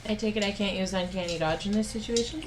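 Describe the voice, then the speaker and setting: A woman's voice: mid-range voice, fast talker, heavy background noise. A woman playing a tabletop game, chatting casually and unscripted as she asks about rules and reacts.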